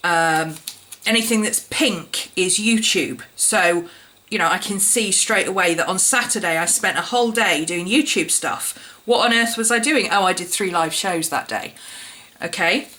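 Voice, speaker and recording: Woman, husky, hoarse from a cold, bright, casual explainer. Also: lowish voice, measured pace. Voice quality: slightly croaky, husky. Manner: chatty, explaining step by step. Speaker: planner YouTuber. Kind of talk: casual show-and-tell vlog.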